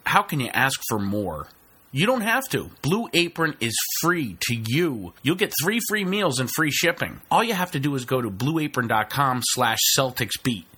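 Man, mid pitch, bright tone, slightly husky, upbeat ad read. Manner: upbeat, listing items quickly. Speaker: ad reader. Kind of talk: sponsor read.

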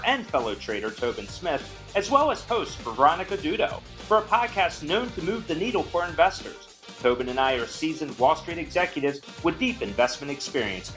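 Lively man pitching a finance podcast. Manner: emphatic, salesy promo delivery. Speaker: podcast co-host in a promo. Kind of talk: scripted podcast promo. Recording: faint music bed underneath.

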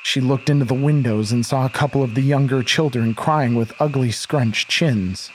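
A man narrating a scripted horror story as its storyteller, with dramatic, literary, deliberate phrasing. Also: medium pitch, rough recording. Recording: clear background ambience noise.

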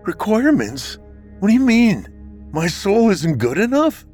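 A man's voice: very animated, moderate pace, darkish tone, soft background music. Man doing a desperate young husband. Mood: pleading. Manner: pleading, pitch rising.

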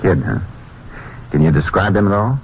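An actor playing a calm, businesslike male Texas Ranger, laconic and matter-of-fact, in a western radio drama. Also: deep voice, normal speed. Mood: calm, inquiring. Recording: muffled, hissy 1950s radio recording.

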